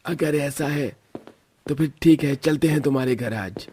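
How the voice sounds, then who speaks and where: A man voicing a kind, reassuring young student suitor in a cartoon story, gentle and earnest. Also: fairly high pitch, measured pace, a little hiss or hum, lightly acted.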